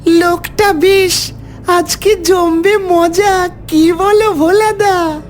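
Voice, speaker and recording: A woman's voice: very high-pitched, steady tone, slight background noise, heavily acted. A woman voicing a gleeful bloodthirsty ghoul in a horror cartoon, taunting and drawing out the words. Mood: sly glee. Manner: taunting, drawn-out.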